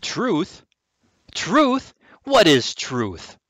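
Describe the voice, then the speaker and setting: A man acting a haughty, exasperated Roman governor in an amateur biblical radio drama, speaking deliberately and imperiously. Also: high-pitched, wildly swooping pitch, heavy background noise, exaggerated voice.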